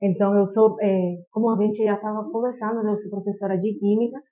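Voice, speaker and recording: A woman from Cuba with a Cuban Spanish accent, a chemistry professor giving measured, explanatory answers full of small fillers as a podcast guest. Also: mid-range voice, steady tone. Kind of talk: podcast interview. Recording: tinny phone-like line.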